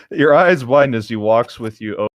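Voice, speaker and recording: A man casually reading a story aloud, pausing hesitantly to add chatty, joking comments. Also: medium pitch, animated, no echo. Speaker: co-host reading and riffing on fan fiction.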